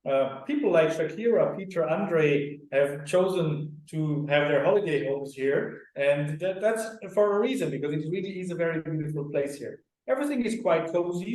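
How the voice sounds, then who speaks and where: A man's voice: a little high, moderate pace, few pauses, a little mellow. A middle-aged man from Austria, a guest on a radio interview, talking earnestly and at length, often checking in with "right?". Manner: earnest, explanatory, often tags sentences with "right?". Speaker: clinic director and co-founder. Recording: very echoey, distant sound.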